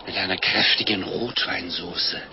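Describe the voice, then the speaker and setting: An actor playing a jovial, fat little begging friar who is effusive, pious and fond of food, in a German radio play. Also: male voice, low voice, steady tone, measured pace, noisy background. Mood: devout, longing. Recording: dull lo-fi radio play, loud music.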